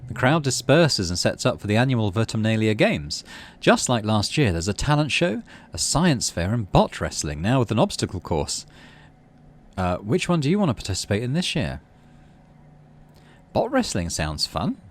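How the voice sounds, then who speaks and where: A man's voice: fairly low pitch, big pitch swings, moderate pace. A man hosting a gaming video, reading story text expressively and adding his own casual commentary.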